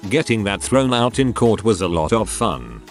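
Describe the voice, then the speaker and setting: A man narrates a string of online posts in a steady, even read-aloud style. His voice sits on the low side. Soft music plays faintly beneath him. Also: normal speed. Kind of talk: scripted narration. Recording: soft music bed behind voice. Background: faint background music.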